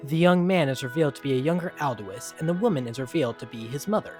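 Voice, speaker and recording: Male story narrator over loud music. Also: on the high side, lively intonation, fast. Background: background music.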